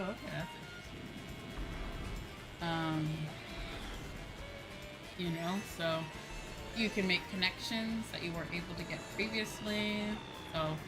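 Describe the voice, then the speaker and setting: Woman, lowish voice, casual livestream chat, informal, musing and repetitive, clear music playing behind her. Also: poor audio. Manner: informal, repeats phrases, musing aloud to viewers. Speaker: streamer. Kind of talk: casual chat while livestreaming a video game.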